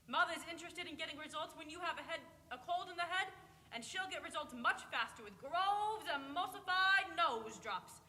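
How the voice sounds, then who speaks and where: A woman playing a chirpy old-time radio announcer pitching a product to children, persuasive and sing-song. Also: animated, moderate pace, pushed and bright, full character voice. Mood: cheery, persuasive. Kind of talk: vintage radio advertisement. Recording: reverberant hall.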